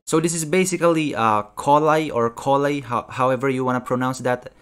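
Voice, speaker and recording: A young man giving casual reaction commentary as a video host, haltingly, often repeating his phrases. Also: mid-range voice, clear, resonant tone, faint music behind. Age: young adult.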